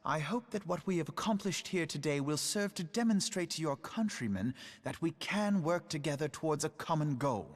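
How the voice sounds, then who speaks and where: A man playing a formal, diplomatic military commander in a fantasy game, speaking in measured, courtly and earnest phrases. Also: on the high side. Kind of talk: scripted fantasy game cutscene acting. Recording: long reverberant hall echo.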